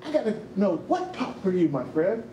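An older man hosting a live stage event, speaking off the cuff to the audience and guests in an animated way. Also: sits high, moderate pace. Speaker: event host, researcher. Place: indoor rehearsal hall, on stage. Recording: echoey room, faint background noise.

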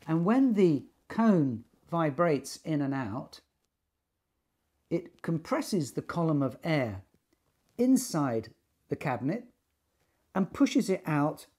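A man in his sixties giving an informal explanatory talk to camera, speaking in measured, deliberate phrases with pauses. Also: on the high side, warm tone, breathy edge. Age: 60s. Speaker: loudspeaker designer and company technical director. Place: small attic listening room indoors.

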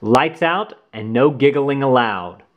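A man voicing a friendly, gently firm mother in a children's storybook, with an instructive, motherly manner. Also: medium pitch, expressive, slow, muffled recording.

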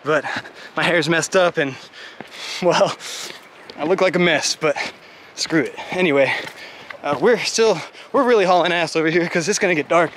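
A man's voice: a little high, normal speed, a bit bright, slight background noise. Breathless young man vlogging on a hike. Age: young adult. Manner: chatty, joking, rambling with fillers. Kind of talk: casual vlog while hiking. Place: wooded trail outdoors. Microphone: handheld camera at arm's length.

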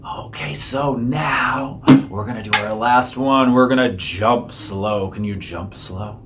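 A man's voice: mid-range voice, takes it slowly, heavy background noise. A middle-aged man leading a children's story time as a librarian, giving simple, encouraging sing-along instructions. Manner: encouraging, addressing small children. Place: small indoor room, seated at a table. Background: acoustic guitar he plays.

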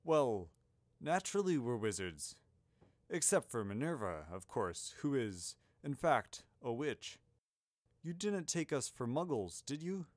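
A man's voice: medium pitch, big pitch swings, darkish tone, no echo, clean studio sound. A man voicing a grandfatherly old wizard headmaster in an audiobook story, courteous, wordy and a little puzzled. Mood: taken aback. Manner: genteel, wordy, halting over asides.

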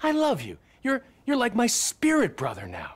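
Game villain: slick American businessman, gloating and self-satisfied, high male voice, animated swings. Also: normal speed, slightly rough, exaggerated voice. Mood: smug, gloating. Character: smug, gloating rich male investor villain. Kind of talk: video game cutscene acting.

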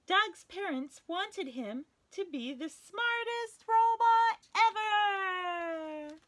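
A young woman with a high, bright voice leads a children's story time. She reads slowly in a sing-song, animated way, swooping up and down and adding playful animal noises. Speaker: children's librarian. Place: small indoor room, wood-panelled.

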